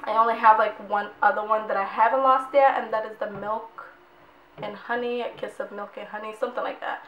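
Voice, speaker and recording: A young woman with a slightly high voice, hoarse and on the edge of losing it, chatting casually through a beauty product review. She rambles and doubles up words for emphasis, and her voice rings in an echoey room at home. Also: fairly even intonation, brisk, muffled recording. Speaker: beauty vlogger.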